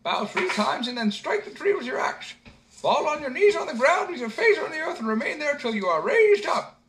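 A man voicing a tiny, magical old man in a very high, slow, squeaky falsetto, reading a storybook aloud. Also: very animated, a crowd in the background, exaggerated voice. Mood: instructing.

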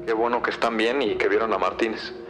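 A man's voice: lowish voice, fairly even intonation, fast, natural voice, poor audio. An actor playing a supportive male police tech colleague in an audio drama, reassuring and matter-of-fact. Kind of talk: audio fiction acting. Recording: loud dramatic music behind.

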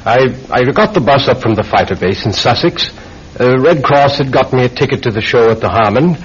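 Husky, distraught military airman. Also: medium pitch, expressive. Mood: weary, shaken. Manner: halting, stammering. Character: anguished military airman confessing to a killing. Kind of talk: old-time radio drama acting. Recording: dull old radio recording.

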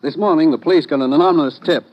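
A man's voice: a little high, lightly acted. A man playing a stern commissioner. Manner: brisk, authoritative. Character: stern government commissioner briefing his agent. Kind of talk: 1950s radio drama acting. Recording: dull old radio recording.

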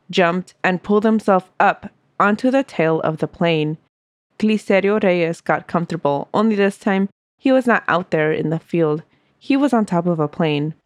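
Low-voiced woman with a stuffy nose. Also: no room sound, clean studio sound. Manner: steady storytelling narration. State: stuffy from a cold. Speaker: podcast host. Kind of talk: reading a scripted history story.

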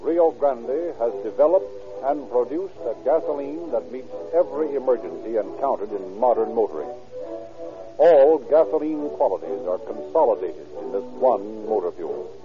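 A male announcer delivers scripted 1930s radio copy in a formal, emphatic way. His mid-pitched voice comes through a thin, phone-like old recording. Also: animated, normal speed, over music. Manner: formal, emphatic salesmanship and narration.

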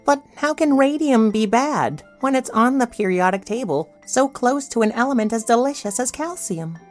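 A woman's voice: on the high side, lively intonation, normal speed, soft background music, natural voice. A woman playing a curious, slightly bossy girl who narrates a children's audio drama with an eager storytelling manner. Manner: clear storytelling, eager questioning. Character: bright, curious, bossy little sister who narrates the adventure.

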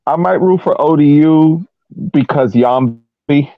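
A man's voice: a little high, measured pace, no room sound. A man chatting casually about soccer as a podcast panelist, over tinny, phone-like call audio.

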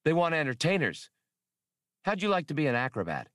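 A man voicing a brash, cocky male starship captain who talks fast and excitably. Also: a little high, no echo, studio quality, pitched above the performer's own voice. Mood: persuasive.

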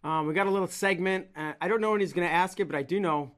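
A man's voice: high-pitched, fast talker, fairly bright tone. A man hosting a wrestling podcast, asking easygoing, loosely phrased questions. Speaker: podcast host. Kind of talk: casual interview questions.

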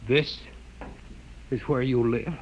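An actor playing a proud but frightened young male scientist in a 1950s radio drama, earnest and quick to indignation. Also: medium pitch, steady tone, over loud music, natural voice, rough recording. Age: young adult. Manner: earnest, indignant, often alarmed.

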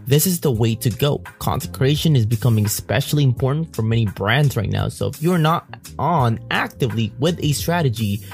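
Guy doing a peppy ad read, quiet music behind him. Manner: upbeat promotional pitch. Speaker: ad reader. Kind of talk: read sponsor ad.

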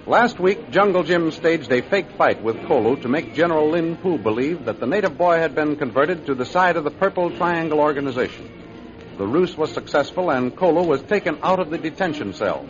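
A man's voice: a little high, expressive, fairly quick, music in the background. A man announcing a 1930s radio serial in formal, declamatory scripted narration. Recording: dull old radio recording.

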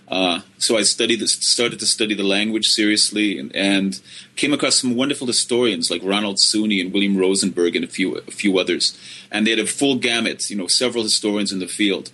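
Bright-voiced Finnish-born man, halting. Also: fairly low pitch, husky. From Finland. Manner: halting, many restarts and self-corrections. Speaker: guest, history professor. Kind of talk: relaxed interview answers.

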